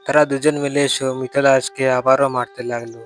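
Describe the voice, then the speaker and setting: A man's voice: medium pitch, level tone, a little fast, soft background music. A man narrating a cartoon story in plain storytelling style.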